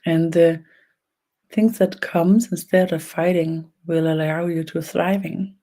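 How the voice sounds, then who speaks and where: A speaker from Denmark with a Danish accent and a gravelly creak leads a guided energy meditation online, speaking haltingly in short phrases with long pauses. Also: mid-range voice, steady tone, dark tone. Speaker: spiritual energy healer leading an online session. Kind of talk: slow guided meditation and channeled talk.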